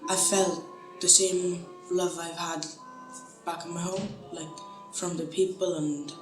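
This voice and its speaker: Even-toned young woman from Syria, echoey. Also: on the low side, slow pace. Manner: hesitant, peppered with "like". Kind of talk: casual personal account. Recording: roomy with music underneath.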